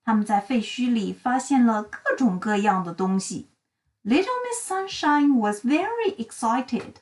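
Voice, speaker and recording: A woman narrating a children's storybook in an expressive, dramatic and deliberate storytelling style. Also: a little high, far from the mic. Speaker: read-aloud storyteller for children.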